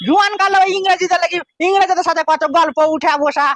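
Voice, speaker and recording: A man's voice: extremely high pitch, fairly even intonation, quick pace, a bit bright, dry recording, studio quality. A man playing an aggrieved old village man in a comic skit, complaining with rhetorical questions in a rural West Bengal accent. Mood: weary grumbling. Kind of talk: comic social skit acting. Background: faint music.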